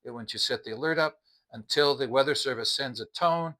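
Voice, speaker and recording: A man's voice: medium pitch, brisk, sharp tone. A middle-aged or older man giving a casual product review, explaining things in a plainspoken, methodical way.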